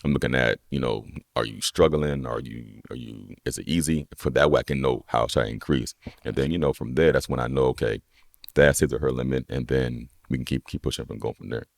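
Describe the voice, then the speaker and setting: A young man with a deep voice, a personal trainer chatting casually as a podcast guest, relaxed and rambling with lots of "you know what I'm saying.". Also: a little fast, creaky, no room sound, clean studio sound. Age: young adult. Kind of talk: casual conversation.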